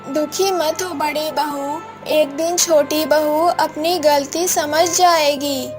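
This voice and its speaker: A woman voicing an elderly, protective mother-in-law in a cartoon moral story, kindly and measured. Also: sits high, fairly even intonation, over music. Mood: consoling. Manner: measured, admonishing, consoling.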